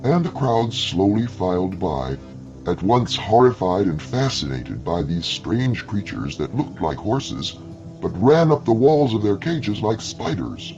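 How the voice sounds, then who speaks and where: A man's voice: fairly low pitch, big pitch swings, unhurried, darkish tone, lo-fi sound, faint music behind. A man narrating a scripted short story in a dramatic storytelling style.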